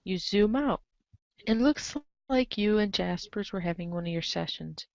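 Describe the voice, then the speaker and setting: A woman narrating a comic story aloud in an expressive read-aloud style, on a slightly dull recording. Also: medium pitch, normal speed, creaky. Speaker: narrator of a group webcomic reading.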